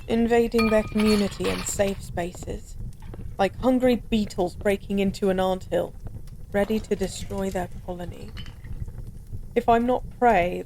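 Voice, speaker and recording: A woman's voice: medium pitch, mellow, slight background noise, natural voice, rough recording. A woman playing a weary, lonely former goddess in an intimate audio roleplay, speaking wistfully and philosophically in formal, old-fashioned wording. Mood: resigned, bitter. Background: bar ambience.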